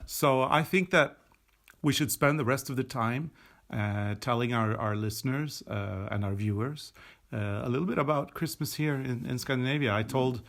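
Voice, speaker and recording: A man's voice: medium pitch, normal speed, vocal fry, fairly bright tone. A middle-aged man from Sweden, a native Swedish speaker, chatting casually on a podcast while knitting, explaining things with many fillers and restarts. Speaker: podcast co-host. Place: indoors at a table.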